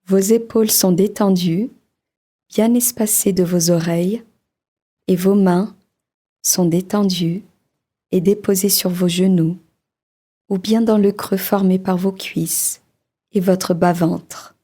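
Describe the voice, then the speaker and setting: A woman leading a guided body-scan meditation in a slow, soft, soothing voice with long pauses. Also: mid-range voice, steady tone, slightly rough.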